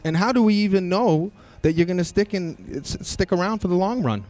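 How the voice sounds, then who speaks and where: A man's voice: high-pitched, lively intonation, a little fast, darkish tone, faint music behind. A man giving closing remarks at a local candidate debate, talking in a pointed, challenging way. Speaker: election candidate.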